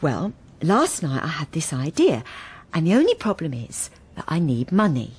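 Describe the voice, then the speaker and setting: A woman's voice: sits low, wildly swooping pitch, moderate pace, a little mellow, breathy edge, full character voice. A woman playing a timid, anxious wife in a radio drama, speaking hesitantly with stammering restarts, both in dialogue and intimate narration. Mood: nervous, earnest. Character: anxious, timid wife who has turned invisible.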